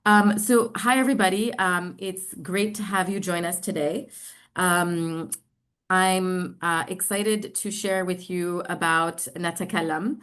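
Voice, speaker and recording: A middle-aged woman with an American accent and a slightly low voice. She presents to an online group in a halting way, pausing often and stretching out words as she explains. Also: fairly even intonation, a bit bright, smooth. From New York, United States. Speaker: social enterprise representative presenting.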